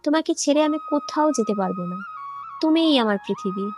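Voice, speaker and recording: A woman's voice: high-pitched, animated, fast, soft background music. A woman voicing an outspoken, principled young village daughter in a cartoon, earnest and forthright. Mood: pleading, affectionate. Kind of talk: Bengali moral-story cartoon dialogue.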